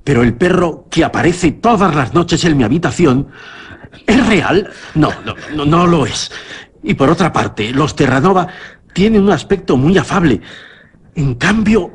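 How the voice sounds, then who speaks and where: A husky, haunted, nervous man. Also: a little high, expressive, unhurried, full character voice. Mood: agitated. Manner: hesitant, stammering. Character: nervous, haunted man tormented by hallucinations, evasive. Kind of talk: Spanish radio suspense drama acting.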